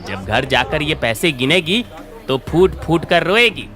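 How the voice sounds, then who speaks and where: A man's voice: on the high side, big pitch swings, measured pace, sharp tone, heavily acted. A man playing a sly, scornful biryani vendor in a cartoon story, smug and taunting. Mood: scornful gloating. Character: sly, scornful male biryani street vendor who cheats customers. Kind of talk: Hindi cartoon story voice acting.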